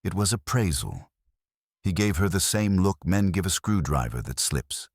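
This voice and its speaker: A man's voice: low-pitched, lively intonation, normal speed, creaky, dry recording, clean studio sound. A man reading a scripted first-person detective mystery as its narrator.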